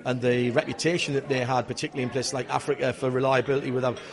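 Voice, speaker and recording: A man's voice: medium pitch, level tone, rapid-fire, creaky, crowd noise. A man hosting a casual interview, eagerly jumping in with questions and facts. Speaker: interviewer host.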